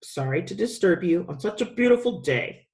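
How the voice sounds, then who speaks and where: Woman doing a big polite tax man from a kids book, kinda formal, lowish. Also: animated, normal speed, a little mellow. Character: big, chubby, courteous balding male tax official.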